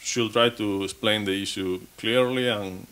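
Halting man giving a tech talk. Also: on the low side, fairly even intonation, a bit bright, clean tone. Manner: halting, many restarts and repeated words. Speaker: conference speaker. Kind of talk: technical conference talk. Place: conference stage.

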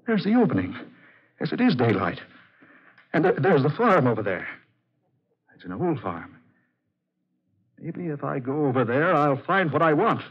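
An actor playing a tormented, weary man with a halting, intense delivery in 1940s radio style. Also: medium pitch, husky, exaggerated voice. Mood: excited. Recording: dull 1940s radio recording, music behind.

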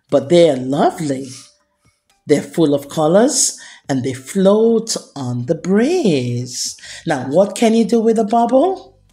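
Woman, children's read-aloud narrator, lively pitch swings, mellow tone, expressive storyteller, music behind. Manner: deliberate, expressive storyteller delivery addressed to children. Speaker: children's storytime host and book reader.

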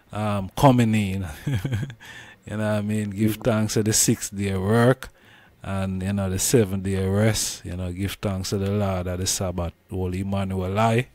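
A man's voice: on the low side, no room sound. A man with a Jamaican accent hosting an interview in respectful, casual Rastafarian Patois. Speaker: host.

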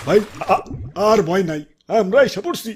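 A man's voice: high voice, expressive, moderate pace, darkish tone. A man voicing a vain, self-important young content creator in a comic cartoon, boastful and tripping over his words. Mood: heroic, showy.

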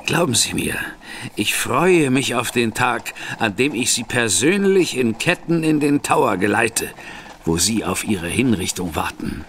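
An actor playing a composed gentleman investigator with a dry, sardonic manner in a radio drama. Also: medium pitch, sing-song, measured pace, slight background noise. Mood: defiant.

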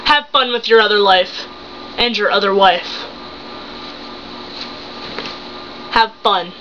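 A seventeen-year-old young woman acting a betrayed, hurt and angry wife and mother in an improvised dramatic monologue, accusing with halting, emphatic phrases. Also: medium pitch, sharp tone, close to an everyday voice. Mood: cold, dismissive. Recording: muffled home recording with hum.

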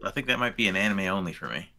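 A man's voice: deep voice, animated, very fast, bright, forceful tone. A man in his thirties chatting casually as a guest on an anime podcast over a video call. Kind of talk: casual chat about anime. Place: room lined with shelves, indoors, on a video call.

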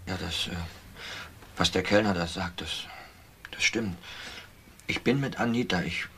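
Faint noise sits behind a troubled younger brother in a crime radio drama. His lowish male voice is subdued and halting, weighed down with guilt. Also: bright, forceful tone, heavily acted. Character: guilt-ridden, hesitant younger brother with drinking problem.

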